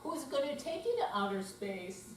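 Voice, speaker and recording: A woman's voice: fairly high pitch, very animated, moderate pace. A woman playing a trusting young grandchild, curious and sad, with a simple questioning manner on stage. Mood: curious, worried. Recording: very echoey room, distant, faint hum.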